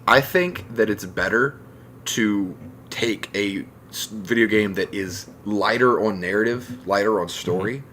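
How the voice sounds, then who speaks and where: A man's voice: fairly low pitch, a little slow, fairly bright tone, a little hiss or hum. A man talking casually and emphatically on a discussion podcast, giving his opinions. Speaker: podcast co-host.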